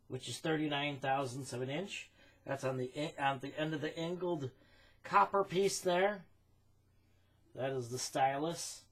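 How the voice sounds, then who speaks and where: Man, American accent, high bright voice, informal technical demonstration, deliberate halting delivery. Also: slightly rough. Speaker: phonograph recording demonstrator.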